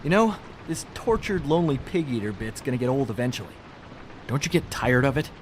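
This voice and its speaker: An actor playing a slippery small-time thief in a video game, talking in glib, ingratiating, hedging patter. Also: male voice, mid-range voice, animated, brisk, a little hiss or hum, natural voice. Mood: defensive.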